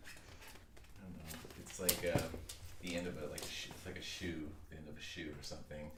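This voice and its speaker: Very low-voiced woman, distant and roomy. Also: normal speed, very noisy. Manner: relaxed, trails off into hesitant fillers. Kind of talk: casual chat.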